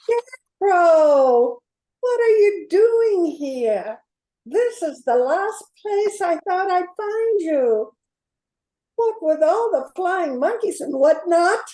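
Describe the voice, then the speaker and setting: Lady doing earnest young girl heroine, high voice, takes her time, echoey room, radio play. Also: big pitch swings, takes it slowly, mellow, heavily acted. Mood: tearful surprise. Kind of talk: amateur radio play acting.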